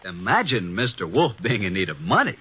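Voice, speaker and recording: An actor playing a brash, wisecracking young assistant detective in a 1950s radio drama, breezy and cheeky. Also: male voice, fairly low pitch, huge pitch swings, fairly quick, bright, forceful tone, husky, heavily acted.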